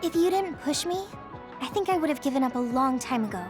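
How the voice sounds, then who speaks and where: Video game heroine: high-pitched teenage girl soldier, sincere, upbeat but unsure of herself. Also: expressive, a little fast, over music. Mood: earnest, bashful. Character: cheerful but self-doubting teenage girl soldier, earnest. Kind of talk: English video game voice acting.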